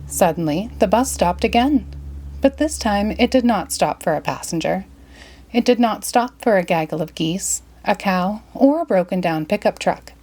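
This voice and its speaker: A woman narrates a children's storybook with a very lively, swooping delivery. Her pitch sits in a middle range but moves a great deal as she tells the tale expressively and dramatically. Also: moderate pace. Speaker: storybook reader.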